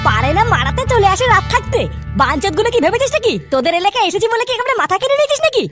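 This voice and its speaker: Super high fast cartoon village boy, cocky n scolding, loud music behind. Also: big pitch swings. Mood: defiant. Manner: scolding, swaggering. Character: brash, foul-mouthed cartoon village boy, hot-tempered. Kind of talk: exaggerated comic cartoon dub.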